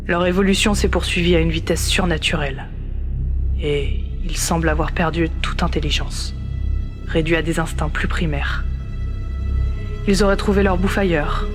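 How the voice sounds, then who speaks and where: A grave actor's narrator voice of middling pitch tells of a drowned, post-apocalyptic world. The delivery is scripted and measured, like an audio drama prologue, with music clearly underneath. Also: close to an everyday voice.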